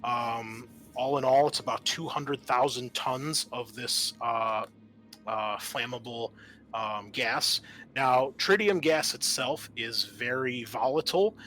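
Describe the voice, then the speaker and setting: A man's voice: mid-range voice, takes it slowly, pushed and bright, faint music behind. A man speaking as host and game master, narrating and explaining a tabletop roleplaying game.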